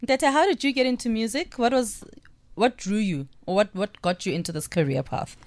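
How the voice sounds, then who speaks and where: A woman's voice: medium pitch, moderate pace, darkish tone. A woman hosting a radio interview, friendly and encouraging toward her guests. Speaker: radio host.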